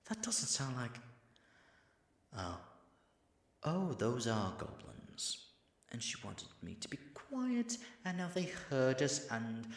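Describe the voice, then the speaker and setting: A man playing a proud, flustered dragon in human form, secretly smitten with a knight, in a theatrical audio drama full of halting, muttered asides. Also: mid-range voice, pushed and bright, reverberant, full character voice. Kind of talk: dramatic audio roleplay monologue.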